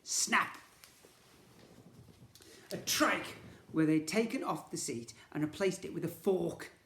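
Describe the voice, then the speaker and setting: A man's voice: on the high side, slow pace. Theatrical male storybook reader in an echoey room. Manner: theatrical, expressive, with emphatic sound words. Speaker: teacher reading a story to children. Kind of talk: animated storybook reading for young children.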